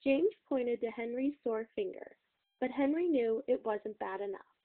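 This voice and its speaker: A woman reads a storybook aloud from a script. Her voice sits on the high side. The recording is narrow and tinny, as if heard through a telephone. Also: animated, measured pace. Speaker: read-aloud reader.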